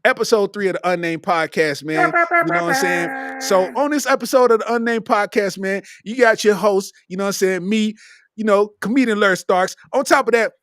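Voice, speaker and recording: A man from Kentucky hosts a comedy podcast in a loose, easygoing way. His voice sits high for a man. The sound is dry and close, with no room echo. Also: animated, fairly quick, fairly bright tone. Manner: laid-back, repeats "you know what I'm saying". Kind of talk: casual comedic podcast chat.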